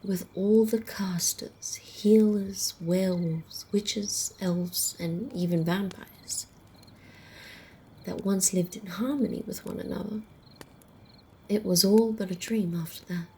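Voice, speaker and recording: A woman playing a wry female vampire, telling a tale in a soft, breathy half-whisper with measured, deliberate pauses, in ASMR roleplay style. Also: medium pitch, steady tone, slight background noise. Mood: solemn, hushed. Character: female vampire storyteller, wry, protective, faintly condescending to humans. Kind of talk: ASMR roleplay, slow hushed campfire storytelling. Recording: faint outdoor night ambience with crickets. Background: crickets and night insect ambience.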